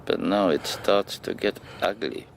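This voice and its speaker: An actor playing a menacing older man in a radio drama, speaking in formal, precise, deliberate phrases. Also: low voice, gravelly, noisy background. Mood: cold, menacing. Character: menacing aging male villain, formal, cold, deliberate.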